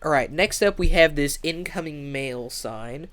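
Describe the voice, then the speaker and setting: A man's voice: on the high side, measured pace, fairly bright tone. Congested young man explaining a game build. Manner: rambling, many fillers and restarts. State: congested, nasal. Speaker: Minecraft build tutorial creator. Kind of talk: casual gaming tutorial voice-over.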